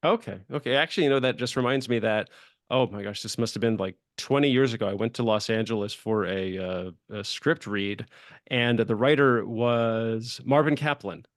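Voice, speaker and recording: A man's voice: medium pitch, moderate pace, no echo. Chatty male podcast host, dry close sound. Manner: chatty, rambling, loosely worded questions. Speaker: podcast host interviewing a guest. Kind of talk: casual conversational interview.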